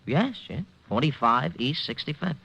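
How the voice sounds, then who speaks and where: An actor playing a nervous, defensive gossip columnist with an American accent, agitated and insistent, in old radio drama. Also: male voice, medium pitch, big pitch swings, normal speed, gravelly, sharp tone, highs cut off, heavily acted. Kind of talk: 1940s-50s radio crime drama acting.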